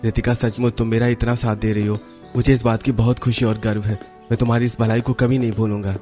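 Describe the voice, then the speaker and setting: A man's voice: mid-range voice, flat delivery, quick pace, mellow, soft background music, natural voice. A man voicing a caring, dutiful young son and husband in a cartoon story, earnest and reassuring. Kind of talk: Hindi cartoon story voice acting.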